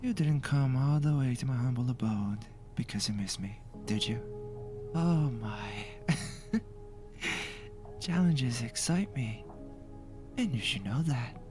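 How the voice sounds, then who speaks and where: A man playing a flamboyant, teasing ghoul mask maker in an anime audio roleplay, theatrical and playful with breathy asides. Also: mid-range voice, expressive, slow pace, pauses often. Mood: intrigued, playful. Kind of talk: anime fan audio roleplay with ASMR touches. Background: faint music.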